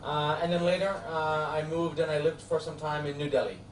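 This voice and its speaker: A man's voice: a little high, steady tone, far from the mic, slight background noise. New York man lecturing in echoey hall. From United States. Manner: friendly, halting, many uh fillers. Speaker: law professor. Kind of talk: classroom lecture.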